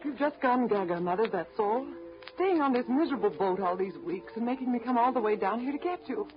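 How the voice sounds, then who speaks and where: An actress playing an impatient, haughty grown daughter scolding in a 1930s radio drama. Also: female voice, fairly high pitch, lively intonation, brisk, lightly acted. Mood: exasperated. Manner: exasperated, scolding. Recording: dull vintage radio recording, music behind.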